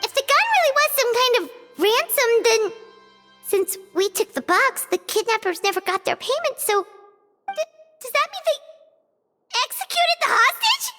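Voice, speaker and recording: A performer voicing a small, excitable creature companion in a video game, exclaiming dramatically in slang and repeating words. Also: very high voice, normal speed, long reverb tail, music in the background. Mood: alarmed, excited.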